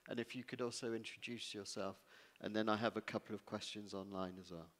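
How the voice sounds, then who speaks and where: A man in his forties chairs a conference panel, steering questions between speakers and audience. His voice sits fairly low. It rings through a large hall with long reverberation. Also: fairly even intonation, fairly quick, fairly bright tone. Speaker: panel moderator. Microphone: headset microphone. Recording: echoing hall amplification.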